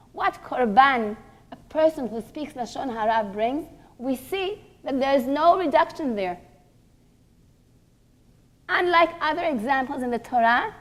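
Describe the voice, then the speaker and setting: High-pitched woman giving a deliberate religious lecture. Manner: deliberate, emphatic, pausing between short phrases. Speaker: religious teacher giving a class for women. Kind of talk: religious lecture with rhetorical questions.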